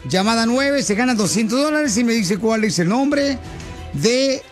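A man's voice: very high voice, animated, unhurried, faint music behind. A man hosting a radio call-in prize contest, chatting playfully with slangy nicknames. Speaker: radio show host.

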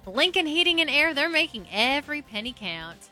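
An American woman reports traffic on the radio in a chatty style. Her voice is fairly high and extremely bright, with faint music behind her. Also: sing-song, moderate pace, dry recording.

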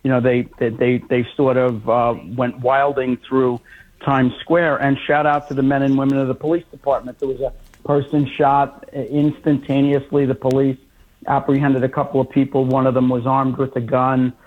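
A man co-hosting a radio talk show, speaking in a measured way with some restarts. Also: mid-range voice, little pitch movement. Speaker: radio co-host. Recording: tinny phone-like line.

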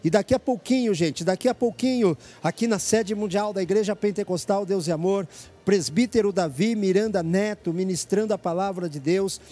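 A man's voice: high-pitched, normal speed, warm tone, soft background music. A man hosting an evangelical radio program from a church headquarters, preaching with fervent urgency.

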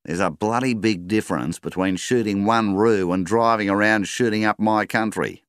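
A man's voice: medium pitch, huge pitch swings, a little slow, gravelly, sharp tone, dry recording, studio quality, voice pushed up, full character voice. A man reading a story aloud, voicing an angry male farmer who raises his voice in indignation. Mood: indignant.

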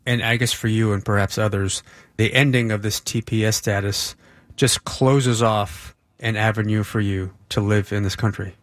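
A man's voice: lowish voice, steady tone, moderate pace, dry recording. Male radio host, dry studio sound. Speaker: radio news host, interviewer. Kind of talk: broadcast interview.

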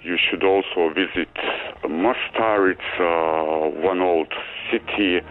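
Deep voiced bosnian guy on a muffled phone line, short polite answers, bit of music under. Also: fairly even intonation, slow pace. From Bosnia and Herzegovina. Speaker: embassy worker, phone interviewee. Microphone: phone call. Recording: dull phone line into radio show.

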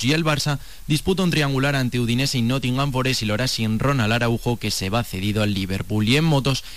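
A man presents a sports bulletin on radio with a Spanish accent from Spain. His voice sits at a middle pitch. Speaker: sports newsreader.

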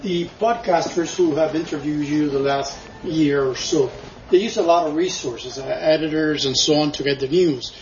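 A man in the audience at a bookstore talk, asking direct, brisk questions. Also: on the high side. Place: bookstore event. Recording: distant, muffled, noisy.

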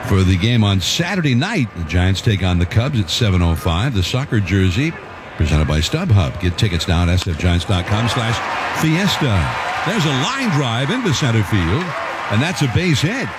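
A male play-by-play announcer calling a baseball game in a conversational, measured way. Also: a loud crowd, very noisy. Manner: conversational, measured, calls pitches with rising excitement. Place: stadium broadcast booth.

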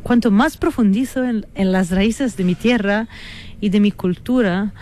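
Slow, hesitant Italian-accented woman. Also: medium pitch, darkish tone. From Italy. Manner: hesitant, searching for words, with many fillers and restarts; reflective and earnest. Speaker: guest musician. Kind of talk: radio interview.

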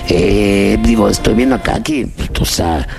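A man's voice: on the low side, unhurried, vocal fry, music in the background. A Mexican man hosting a casual, chatty interview, effusive and given to repeating his phrases and interjecting. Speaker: interview host.

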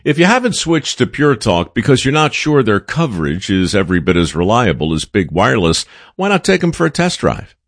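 A man with a lowish voice reads a radio ad, swinging his pitch widely as he pitches the deal. The recording is dry and close, and the tone is persuasive. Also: big pitch swings, a little fast, dry recording, soft background music. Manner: upbeat, persuasive sales pitch. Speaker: ad spokesman. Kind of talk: scripted radio ad read.

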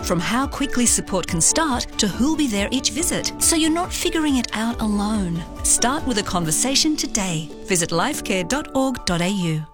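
A woman voicing a radio ad in a gentle, caring way. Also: fairly high pitch, sing-song, moderate pace, hardly any pauses, over music. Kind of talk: radio ad voice-over.

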